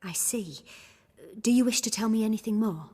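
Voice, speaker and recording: A woman playing a calm, solemn, gently commanding dream seer in a video game, speaking softly and huskily in a measured, instructing way. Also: on the high side, slightly rough. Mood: gentle, attentive.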